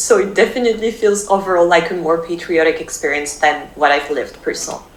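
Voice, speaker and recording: A young woman from France, talking in a brisk, chatty and opinionated vlog commentary. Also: fairly low pitch. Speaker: vlogger. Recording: echoey room with faint ambience.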